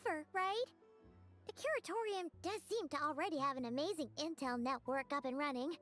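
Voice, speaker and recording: A woman's voice: high-pitched, fairly quick, a bit bright. A woman voicing a small, perky fairy companion girl who talks about herself in the third person and schemes cheerfully. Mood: scheming. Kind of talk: video game voice acting. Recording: faint game music behind.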